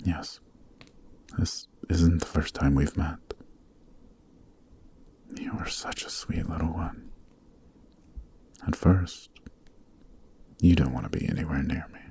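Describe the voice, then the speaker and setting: A man playing a noble, gentle demon king in an ASMR roleplay, speaking in a measured, soothing near-whisper. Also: a bass voice, fairly even intonation, exaggerated voice. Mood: fond. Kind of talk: ASMR roleplay, soft dramatic acting.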